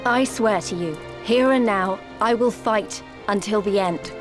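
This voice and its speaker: A woman voices a young rebel heroine in a fantasy game, at a medium pitch. She sounds solemn and determined, declaring each phrase firmly over a prominent musical score. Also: measured pace, music in the background, lightly acted. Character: resolute young woman, rebel heir swearing an oath. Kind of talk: dramatic fantasy game acting.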